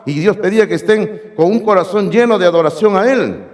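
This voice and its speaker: A hoarse man preaching a sermon as pastor, emphatic and speaking directly to his congregation in a church sanctuary. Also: high voice, fairly quick, a little mellow. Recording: long reverb of a church hall.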